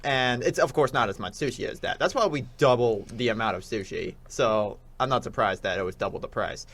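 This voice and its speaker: Young man, medium pitch, rambling casual talk. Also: a little fast, fairly bright tone. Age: young adult. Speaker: returning radio show guest. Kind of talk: casual chat.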